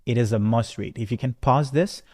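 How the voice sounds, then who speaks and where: A man's voice: medium pitch, fairly quick, a little mellow. Man telling his story in heavy reverb. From born in Rwanda, moved to Canada at thirteen. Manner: conversational, emphatic, punctuated with check-in questions like "right?". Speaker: entrepreneur presenting. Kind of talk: motivational life-story talk to camera. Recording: long hall-like reverb.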